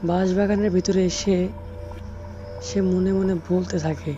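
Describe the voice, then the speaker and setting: A man's voice: sits high, steady tone, unhurried. A man narrating a cartoon folk tale in a scripted storytelling style. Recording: dull lo-fi audio under clear music.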